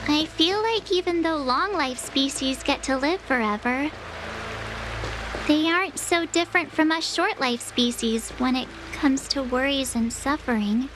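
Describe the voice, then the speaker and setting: A very high-pitched, perky young girl in a video game, chatty and easily flustered. Clear ambient noise runs behind her. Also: unhurried. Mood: musing.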